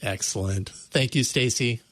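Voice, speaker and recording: A man hosts a tech show in a casual, conversational style. His voice sits at a medium pitch and his phrasing halts and restarts as he thinks. The sound is close and dry, with little room in it. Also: measured pace, a bit bright, no echo. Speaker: podcast host.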